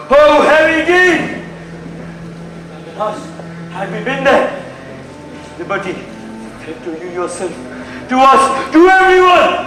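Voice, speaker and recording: A man's voice: very high-pitched, pushed and bright, a little hiss or hum, full character voice. A male student actor playing a scheming king, declaiming theatrically in stage Shakespeare style. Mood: alarmed. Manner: declamatory, slow. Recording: distant echoey hall, music behind.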